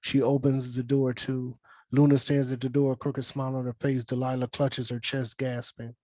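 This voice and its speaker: A man narrates stage directions in a flat, even voice of medium pitch. The sound is dry and close, and the delivery is that of a scripted table read. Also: normal speed, dry recording.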